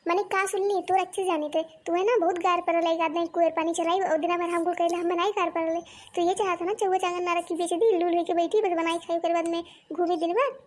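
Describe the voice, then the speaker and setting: A woman's voice: very high voice, fairly even intonation, brisk, a little mellow. A woman voicing a fretful, scolding village wife and mother in a comedy cartoon, with an Awadhi accent and a wailing, exclamatory delivery. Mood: exasperated complaining.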